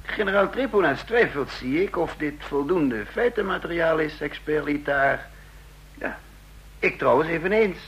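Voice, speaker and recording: A man's voice: on the high side, expressive, fairly bright tone, a little hiss or hum, poor audio. An actor playing a doubtful male intelligence chief in a radio drama. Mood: skeptical. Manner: doubtful, measured.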